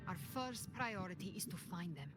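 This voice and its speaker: Woman voicing a low, grave game huntress, defiant and cryptic, music pretty loud behind her. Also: expressive, moderate pace, lightly acted. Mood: grave, measured. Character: brooding, defiant female avenger who hunts dark monsters. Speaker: video game voice actress. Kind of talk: dramatic scripted game dialogue. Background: prominent background music.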